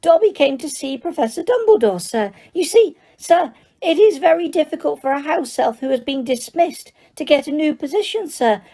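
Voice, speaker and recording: Woman doing a house elf, male, squeaky, eager, timid, broken grammar, very high, swooping. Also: animated, normal speed, warm tone. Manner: broken grammar, frequent "sir".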